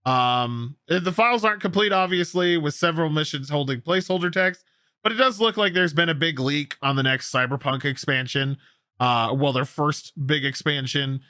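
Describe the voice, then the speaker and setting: Male gaming news host: forceful bright tone, slightly high voice, opinionated and casual, repeats for emphasis. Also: normal speed, no room sound, studio quality.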